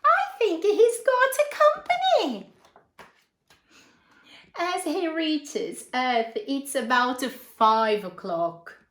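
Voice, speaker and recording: A woman with a high, very lively voice reads a children's story at an unhurried pace. She is expressive and often turns to her young listeners with prompting questions, as a storyteller would. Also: roomy sound. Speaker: storyteller reading a picture book to children. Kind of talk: animated children's read-aloud with asides and questions.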